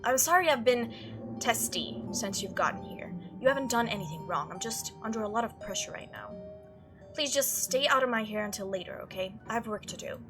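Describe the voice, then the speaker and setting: A woman's voice: a little high, lively intonation, fairly quick, music in the background, close to an everyday voice. A woman playing a testy, stressed female cousin in a visual novel, curt and weary. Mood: testy, apologetic.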